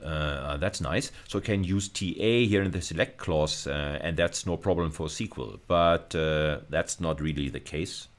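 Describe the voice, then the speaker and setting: Very low-voiced man giving a technical lecture. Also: moderate pace, few pauses. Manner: hesitant, explanatory, repeats and rephrases phrases. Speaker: university lecturer. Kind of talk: informal technical screencast lecture.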